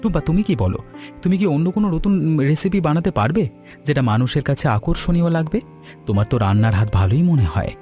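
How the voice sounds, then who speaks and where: A man voicing a kind, generous gentleman customer in a cartoon story, speaking earnestly and with feeling. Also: on the high side, fast, faint music behind. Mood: enthusiastic. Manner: expressive, earnest, caring. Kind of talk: animated cartoon story dialogue.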